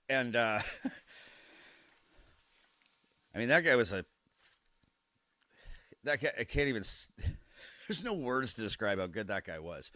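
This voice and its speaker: A young man with a cold, sniffly and coughing, chatting casually and excitedly on a live stream, rambling and stumbling over his words. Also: fairly low pitch, dry recording. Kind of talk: casual live-stream watch-along chat.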